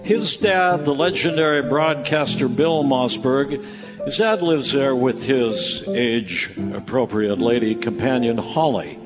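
A man's voice: mid-range voice, slow. A man narrating a radio play in a conversational storytelling style. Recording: reverberant hall. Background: music.

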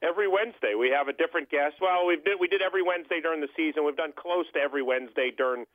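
A man's voice: fairly high pitch, rapid-fire, no room sound. A man in his thirties from Boston, chatting as a guest on a call-in interview in an easygoing, self-promoting way. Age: 30s. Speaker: guest, sports beat writer. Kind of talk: casual interview chat. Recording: tinny phone line.

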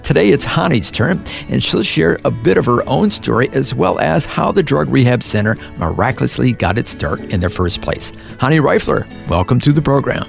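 A man hosting a radio interview in a friendly, measured way. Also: medium pitch, animated, gravelly, soft background music. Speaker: radio host.

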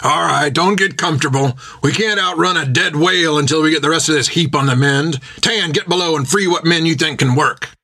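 A man voicing a gruff, foul-mouthed pirate captain who barks orders bluntly in an audiobook adventure. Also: fairly high pitch, brisk, few pauses, pushed and bright, pitched above the performer's own voice. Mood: commanding.

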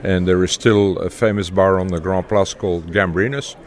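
An older man from Belgium with a Belgian accent, speaking haltingly with many fillers as an interview guest. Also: sits low, steady tone. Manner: halting, many uhs, chuckles. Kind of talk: casual interview chat.

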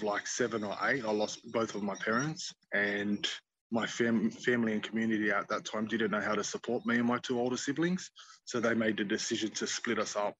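An Australian man, a podcast guest, telling his life story in an earnest, steady way with many fillers. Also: on the low side, a little hiss or hum, low-quality recording. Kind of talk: personal storytelling.

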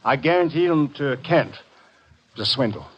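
An actor playing a surly, evasive swindler in a 1950s radio mystery, talking in blunt, tough slang. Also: male voice, medium pitch, lively intonation, normal speed, slightly rough. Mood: resigned. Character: surly, evasive male swindler and map forger. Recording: dull vintage 1950 radio recording.

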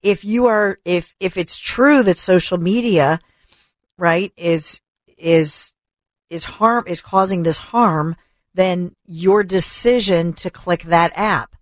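A talk radio host, a woman, delivering an opinionated rant full of rhetorical questions and repetition, her voice going hoarse. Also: fairly low pitch, slow, dry recording. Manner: emphatic, rhetorical questions, repeats for stress. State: losing her voice. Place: radio studio. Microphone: studio microphone.

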